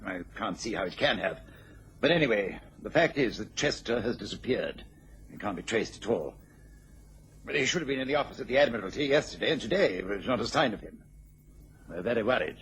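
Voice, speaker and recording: An actor playing a worried, dignified British government official in a radio drama, formal and hesitant. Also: male voice, lowish voice, expressive, brisk, a bit bright. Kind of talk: mid-century radio drama acting. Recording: noisy old radio recording.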